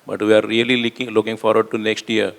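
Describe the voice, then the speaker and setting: A man's voice: on the low side, level tone, fast talker, creaky. A man, a government energy official answering on a panel in a matter-of-fact way. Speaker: official from the state energy agency. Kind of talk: panel discussion. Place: conference hall.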